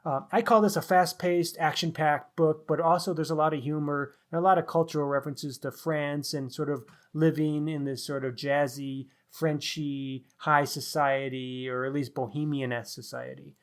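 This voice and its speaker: Higher-voiced man reviewing books. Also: fairly even intonation, normal speed, hardly any pauses. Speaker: podcast co-host. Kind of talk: book review talk on a podcast.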